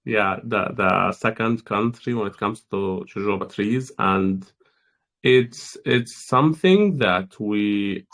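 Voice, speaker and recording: A man's voice: on the low side, slow pace, fairly bright tone. A man from Palestine, explaining a project in an online AMA. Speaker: project team member.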